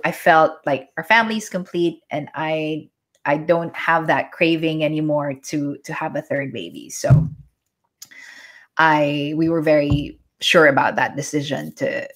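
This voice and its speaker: A woman's voice: low voice, a little slow. A woman in her forties with a Filipino accent, a native Tagalog speaker, chatting casually in a live stream at home and answering viewer comments as a yoga instructor. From Philippines (Manila). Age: 40s.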